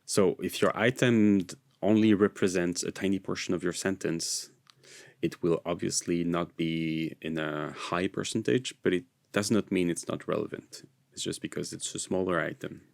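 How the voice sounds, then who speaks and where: Low, creaky non-native man explaining software. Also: fairly even intonation, moderate pace, no echo. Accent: non-native English, American-leaning. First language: not English. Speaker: software tutorial presenter. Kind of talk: screencast tutorial narration.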